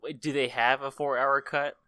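A man with a crisp, bright voice talks casually as a co-host on a movie podcast. He is recorded dry, without any room sound. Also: medium pitch, level tone, normal speed, no room sound. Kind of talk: casual film discussion.

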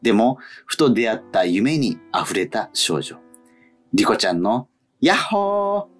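A low-voiced male radio host who moves between reading listener mail and casual chat. His intonation swings widely, and his voice has a husky edge, with light music behind it. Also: very animated, unhurried.

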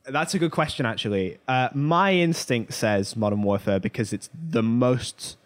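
A man's voice: medium pitch, normal speed. A man co-hosting a pop culture podcast, chatting informally and giving his opinions. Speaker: podcast co-host. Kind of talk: casual pop culture chat.

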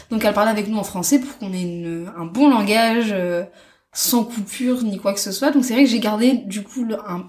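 A woman in her twenties, a guest sharing her story in a casual interview, speaking in a halting, thoughtful way with soft fillers. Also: mid-range voice. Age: 20s. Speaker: interview guest, stay-at-home mother. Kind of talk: casual personal testimony.

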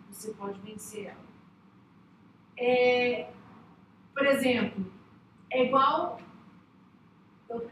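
A woman's voice: high-pitched, a bit bright, slight background noise. A woman hosting, giving an emphatic motivational faith talk straight to her listeners. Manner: emphatic, exhorting the listener directly. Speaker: host. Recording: echoey room, voice from far off.

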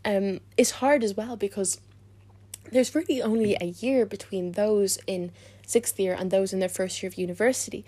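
A woman's voice: medium pitch, warm tone, no echo. A nineteen-year-old woman student co-hosting a podcast, making an earnest, emphatic argument and repeating her points. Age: young adult.

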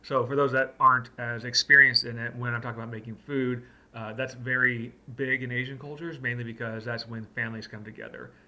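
A man co-hosts a laid-back movie review chat at a middle pitch. His voice is very bright and sharp-edged. He wanders through his points with plenty of fillers. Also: little pitch movement, brisk, hardly any pauses. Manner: rambling, heavy on like and uh. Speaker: co-host. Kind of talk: casual chat.

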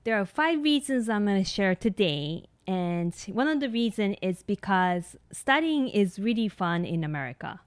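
A woman in her thirties with a Japanese accent talks earnestly on her solo podcast, leaning on ums and you-knows as she goes. Her voice is smooth and clear at a middle pitch, and it sounds close and dry with no room around it. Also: lively intonation, moderate pace, hardly any pauses, dry recording. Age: 30s. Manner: earnest, many fillers like um and you know. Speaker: nurse practitioner and podcast host.

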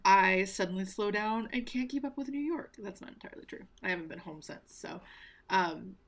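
A woman's voice: mid-range voice, moderate pace, creaky. A young woman from Arkansas with a faint, mostly neutral American accent, sarcastically reading a novel aloud and swearing freely in casual podcast chat. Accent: faint General American with little Southern trace. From Arkansas, US South.

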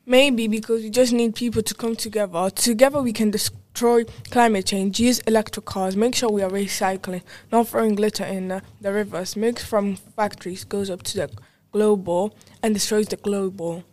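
A woman's voice: medium pitch, fairly even intonation, normal speed, darkish tone, close to an everyday voice. A woman playing an earnest local councillor in an amateur radio drama. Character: earnest local councillor promising tough climate laws.

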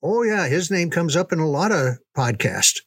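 An older man reminiscing in a casual, folksy way, unhurried, with pauses for emphasis. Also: fairly high pitch, expressive, husky, no room sound, studio quality. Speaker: podcast storyteller, reminiscing father. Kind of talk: casual conversational storytelling.

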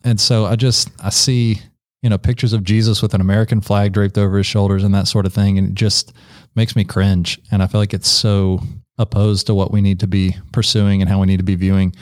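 Low-voiced candid man, very dry sound. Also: flat delivery, a little fast, no echo. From Ecuador. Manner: candid, reflective, frequent self-corrections. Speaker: podcast co-host. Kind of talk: casual discussion.

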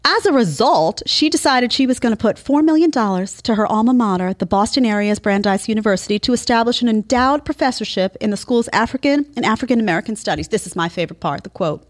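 A woman's voice: on the high side, animated, quick pace, hardly any pauses. A woman hosting an opinion show, delivering a sarcastic, incredulous, emphatic monologue. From Italian family background. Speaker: show host.

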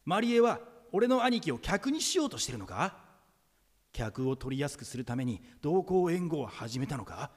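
A man's voice: a little high, very animated, normal speed. A man playing a panicky younger brother in an audio drama, agonizing in an agitated, stammering inner monologue. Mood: anxious, agitated. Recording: long reverberant echo.

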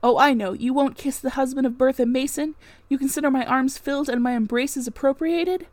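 A woman reading a classic novel aloud, voicing an impassioned, brooding married gentleman in long theatrical speeches. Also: high-pitched, brisk, no echo, lightly acted. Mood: indignant. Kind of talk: dramatic audiobook-style reading of a classic novel.

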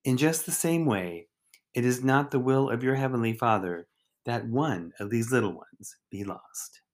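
A man reading a scripted daily devotional as a deacon and host, phrasing it deliberately in short, measured units. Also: medium pitch, animated. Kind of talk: scripted devotional reflection, read aloud.

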